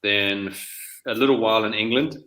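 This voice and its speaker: A middle-aged man from New Zealand talks loosely in an interview, stopping and restarting his sentences. His voice sits a little low, with a bright and forceful edge. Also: fairly even intonation, normal speed, rough recording. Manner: rambling, with frequent restarts and fillers. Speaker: guest author. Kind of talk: casual interview conversation.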